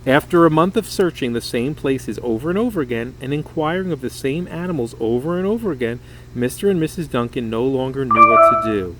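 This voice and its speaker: A man reading a children's story aloud in an expressive, dramatic, emphatic way. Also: mid-range voice, brisk, background noise. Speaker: storybook reader.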